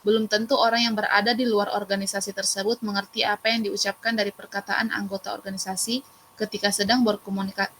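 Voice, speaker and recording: A woman's voice: medium pitch, fairly bright tone, a little hiss or hum, low-quality recording. Young woman reading her class notes. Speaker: student presenter and moderator.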